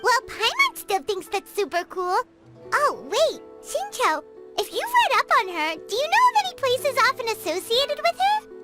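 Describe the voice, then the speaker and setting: A woman voicing a tiny, cheerful, childlike fairy companion in a video game, squeaky and excitable. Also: normal speed, music in the background, heavily acted. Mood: excited, puzzled. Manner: bubbly, speaks of herself in third person. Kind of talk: video game character acting.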